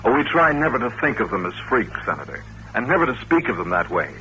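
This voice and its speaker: A patient, courteous doctor in an old radio play. He explains in a measured, polite way with a crisp, forward brightness. The sound is dull vintage radio with slight hiss. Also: medium pitch, big pitch swings. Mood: earnest, explanatory. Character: courteous, patient male doctor running a mutant institution. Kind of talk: old-time radio drama acting.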